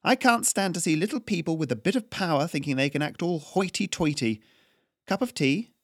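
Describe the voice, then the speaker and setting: A man voicing a confident, strident noblewoman who speaks with brisk authority. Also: deep voice, expressive, dry recording, clean studio sound, pitched above the performer's own voice. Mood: breezy. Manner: strident, forceful and proper.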